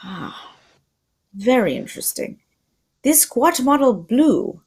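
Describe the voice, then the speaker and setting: A woman playing a shrewd, polite Belgian male detective with a put-on Belgian French accent, formal and deliberate, in an old-time radio mystery style. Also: a little high, very animated, slightly rough, full character voice. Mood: intrigued.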